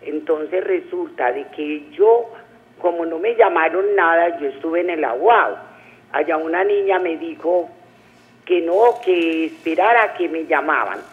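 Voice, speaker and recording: A woman's voice: low-pitched, measured pace. Woman caller on a tinny phone. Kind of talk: informal personal account. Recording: echoey, narrow telephone line.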